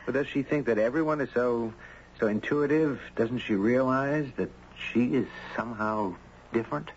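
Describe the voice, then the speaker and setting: A man playing a courteous, curious storyteller, gentle, thoughtful and well-spoken, in a muffled, noisy 1970s radio drama. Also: mid-range voice, normal speed, lightly acted. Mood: puzzled, intrigued. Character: courteous, curious man, narrating his own strange tale.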